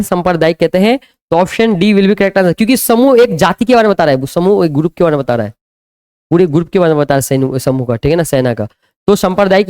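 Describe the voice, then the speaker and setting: High-voiced man teaching by call and answer. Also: a little mellow, smooth. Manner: drills students with repeated call-and-answer questions. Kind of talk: live online grammar lesson.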